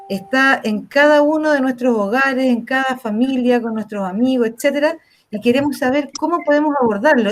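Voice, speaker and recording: A woman with a Chilean accent moderating an online panel, talking casually with many fillers and restarts. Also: a little high. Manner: casual, filler-heavy, fast with pauses. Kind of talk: meeting introduction.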